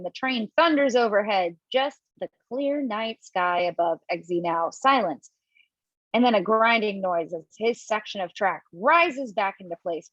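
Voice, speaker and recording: A woman reading the stage directions in an amateur table read, brisk and quick to laugh at her own slips. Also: mid-range voice, a bit bright, no room sound, lo-fi sound. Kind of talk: amateur table read over video call.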